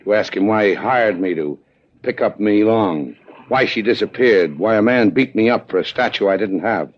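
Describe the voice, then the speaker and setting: A battered, stubborn sea skipper in a 1950s radio drama. His very low voice is terse and hard-boiled, aching and tired. The sound is old and muffled. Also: expressive, normal speed. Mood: determined. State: in pain, worn out from a beating. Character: tough, battered, stubborn male boat skipper. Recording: dull vintage 1950s radio recording.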